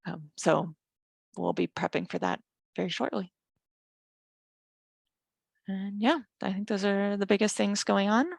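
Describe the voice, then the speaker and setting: A woman's voice: mid-range voice, vocal fry, dry recording. A woman giving an informal working group update on a video call, thinking aloud as she talks. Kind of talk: casual work meeting update.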